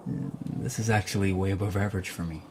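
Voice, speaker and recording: A man's voice: low-pitched, steady tone, quick pace, a little mellow. An actor playing an awkward, wisecracking young male graduate student in a radio drama, stammering and self-deprecating. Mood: nervous, eager. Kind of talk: naturalistic radio drama acting. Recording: radio drama with background noise.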